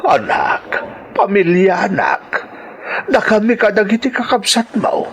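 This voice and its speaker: A man's voice: high voice, very animated, unhurried, creaky, a bit bright, slight background noise, full character voice. A man playing a caring older father in a sentimental radio drama, tender and lamenting. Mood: reassuring, earnest. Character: caring older father comforting his grown daughter.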